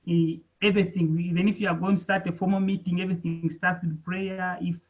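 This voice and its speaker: A man with a notably high voice speaks over a muffled, lo-fi video call. He introduces himself and his research with earnest enthusiasm, as a doctoral student. The audio sounds dull and narrow. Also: flat delivery, a little fast. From Uganda. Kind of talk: introducing himself in an online meeting.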